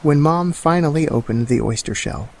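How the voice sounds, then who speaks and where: A man reading children's bedtime stories aloud in a slow, gentle storybook manner. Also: a little high, expressive, vocal fry.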